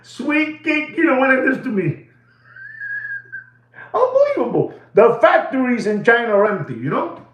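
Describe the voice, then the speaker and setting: A man's voice: very high-pitched, huge pitch swings, a little slow, pauses often, reverberant. A man from New York with a Puerto Rican accent, chatting on a livestream, rambling with lots of fillers and bits of Spanish. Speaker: livestream host. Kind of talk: casual livestream chat.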